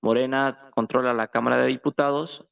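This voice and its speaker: A man with a Mexican accent talks informally as a guest on a thin, phone-like line. His medium-pitched voice has a gravelly fry, and he often gropes for the next word. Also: steady tone, fairly quick. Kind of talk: casual political discussion. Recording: tinny, phone-like call audio.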